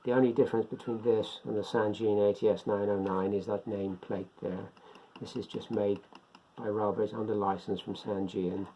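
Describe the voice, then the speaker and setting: An older man giving a casual, unscripted product demonstration, talking haltingly as he thinks aloud. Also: lowish voice, fairly even intonation, dark tone. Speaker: presenter of a beginners' radio hobby video. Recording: dull lo-fi sound, faint background noise.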